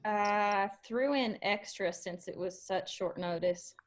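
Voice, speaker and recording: A woman's voice: medium pitch, slow, slight background noise, natural voice. Young female bartender, read by a woman, mid-range voice, casual, wry, a touch awkward, table-read drama. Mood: flustered. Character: young woman bartender, quick-witted, plain-spoken, slightly awkward.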